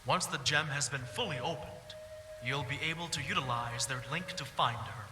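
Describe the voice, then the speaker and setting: A man playing a brooding bard and former royal mage advisor in a fantasy game, speaking in measured, solemn, poetic phrases. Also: medium pitch, lightly acted. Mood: grave, cautious. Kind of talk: fantasy game roleplay dialogue. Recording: echoey hall, music playing behind.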